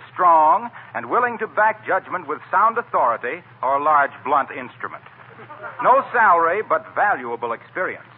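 A man's voice: high-pitched, a little hiss or hum. A man announcing a 1940s radio show in a formal, projected style. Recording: thin, narrow old radio recording.

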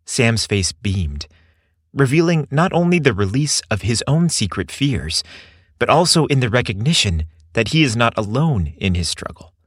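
A man reading a scripted romantic story as narrator, in a measured, expressive storytelling manner. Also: mid-range voice, slightly rough, no room sound, studio quality.

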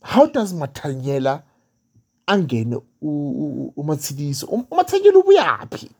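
Low, mellow woman host. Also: sing-song, normal speed, slightly rough. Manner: warm affirmations, mixes Zulu and English, frequent fillers. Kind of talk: casual interview.

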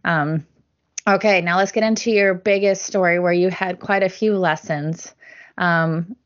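A woman's voice: on the low side, steady tone, measured pace. A woman hosting a podcast, asking her guest questions and giving short, friendly replies. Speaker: podcast host. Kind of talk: interview. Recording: dull lo-fi audio.

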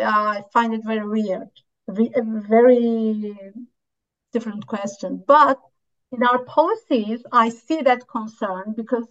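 Casting note: Turkish-accented woman, fairly high voice, English teacher, halting with fillers, muffled lo-fi sound. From Turkey. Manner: hesitant, many fillers and restarts. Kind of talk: interview answers.

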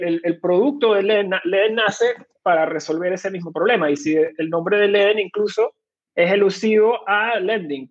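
High-voiced Venezuelan man, husky. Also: muffled recording. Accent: Venezuelan Spanish. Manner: explanatory, many fillers and restarts. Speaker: guest, crypto company cofounder. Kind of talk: conversational interview answers.

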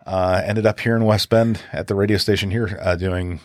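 Deep-voiced man, relaxed storyteller. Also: normal speed, no echo, studio quality. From Wisconsin. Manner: relaxed storyteller, many fillers and restarts. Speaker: podcast guest. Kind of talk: casual conversational interview.

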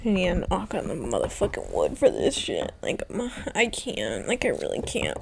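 A woman's voice: fairly low pitch, huge pitch swings, normal speed, creaky, slightly rough. A young woman in her twenties, stuffy and congested from being sick, rambling casually with lots of ums and some swearing. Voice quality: stuffy nasal. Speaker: vlogger. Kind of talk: casual personal chat.

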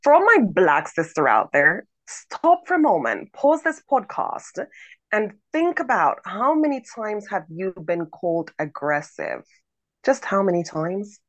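A woman's voice: mid-range voice, big pitch swings, measured pace, dry recording. Woman podcast co-host, big projecting voice, emphatic and repetitive, dry close mic. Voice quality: big strong voice. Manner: emphatic, repeats words for emphasis. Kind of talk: conversational podcast commentary.

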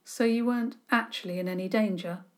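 Woman voicing a flat, matter-of-fact boy. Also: mid-range voice, a little fast, natural voice. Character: flat, fair-minded boy.

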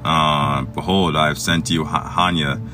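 A man from Egypt hosting a solo podcast, reading a text aloud and commenting on it in a halting way with many fillers and restarts. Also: very deep voice, fairly even intonation. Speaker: podcast host. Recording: music playing underneath.

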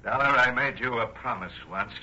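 An actor playing a menacing male criminal who speaks with cool, deliberate threat in a 1960s radio drama. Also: medium pitch, bright, forceful tone. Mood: coolly threatening. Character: smooth, menacing male criminal holding a gun. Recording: dull, faintly noisy vintage radio recording.

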